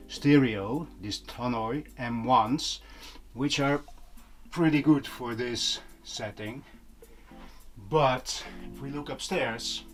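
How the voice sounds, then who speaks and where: A man talking casually to viewers, explaining things in a conversational way as an audio vlogger. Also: medium pitch. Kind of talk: casual vlog talk to viewers. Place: small room at home. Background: music playing.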